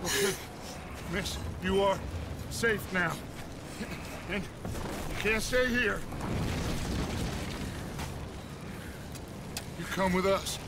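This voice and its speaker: An actor playing a commanding, fatherly outlaw gang leader in a Western video game, deliberate, courteous and persuasive, reassuring yet steely. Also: high-pitched, noisy background. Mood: urgent, reassuring.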